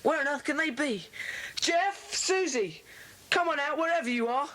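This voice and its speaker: A woman playing an anxious, well-spoken schoolboy, earnest and polite with a husky voice, in a children's audiobook drama. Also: high voice, lively intonation, moderate pace, fairly bright tone. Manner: earnest, polite, old-fashioned schoolchild phrasing.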